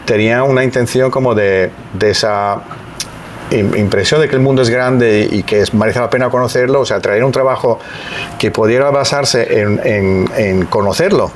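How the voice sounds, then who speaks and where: A man's voice: mid-range voice, normal speed, a little hiss or hum. A middle-aged man from Italy's Veneto, speaking Spanish with an Italian accent as a podcast guest, reflective and hesitant with many restarts. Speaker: guest, EU civil servant. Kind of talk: podcast interview.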